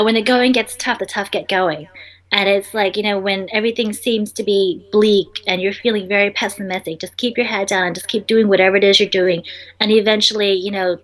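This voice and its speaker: A woman's voice: medium pitch, fairly bright tone, poor audio. A young woman entrepreneur, a native Vietnamese speaker, answering interview questions in a chatty way full of ums and restarts.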